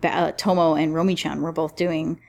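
A woman hosting a casual roundtable podcast, speaking in a chatty, encouraging way with clear enthusiasm. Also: sits low, little pitch movement, brisk, darkish tone. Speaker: podcast host.

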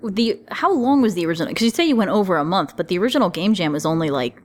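A woman's voice: fairly low pitch, lively intonation, very fast, a little mellow. A woman hosting a casual podcast roundtable, prompting others with conversational questions. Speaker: podcast host leading a roundtable. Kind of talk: casual chat.

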